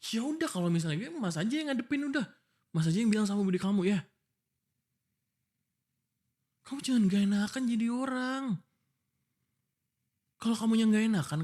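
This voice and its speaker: A man's voice: sits high, a little mellow. Man acting young fiancé, exasperated, protective, insistent, pleading, informal scolding, ASMR audio roleplay. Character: exasperated, protective young fiancé arguing before his wedding. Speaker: voice actor. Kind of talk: boyfriend ASMR roleplay, one-sided dialogue.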